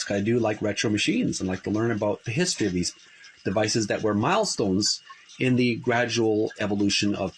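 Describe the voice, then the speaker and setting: Halting man reading from a book. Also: mid-range voice, fairly bright tone, a little hiss or hum. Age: adult who played tape games in school. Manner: halting, many fillers and restarts. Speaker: channel host reading from a book. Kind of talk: casual book reading and commentary.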